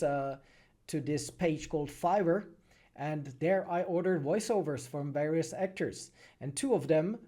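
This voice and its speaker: A Czech man with a soft, mellow voice that sits a little higher than average. He talks casually as a streamer answering questions from chat. His delivery is thoughtful and hesitant, with frequent pauses as he finds his words in accented English. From Czech Republic. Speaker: animated film creator and streamer.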